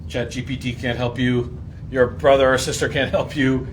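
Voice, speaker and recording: A man teaches a Bible story informally and colloquially, putting questions to his group. He has a mid-pitched, animated delivery, picked up a little away from the mic with faint room noise around him. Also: normal speed. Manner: animated, colloquial, acts out short quotes. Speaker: host leading a group Bible reading. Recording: noisy room, slightly distant mic.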